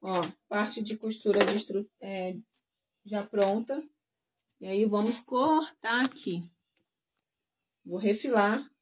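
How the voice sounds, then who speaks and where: A woman's voice: mid-range voice, takes it slowly, long pauses, slight background noise. A woman teaching a live sewing class, talking casually to her viewers while she works and trailing off between steps. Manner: chatty, addressing viewers as girls. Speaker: sewing instructor.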